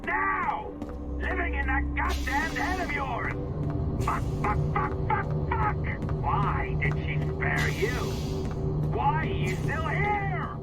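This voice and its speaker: A frantic man in a video game rants in a very high, strained pitch. He is furious and desperate, with loud music behind him. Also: lively intonation, slow pace, heavily acted. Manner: shouted, desperate, profane.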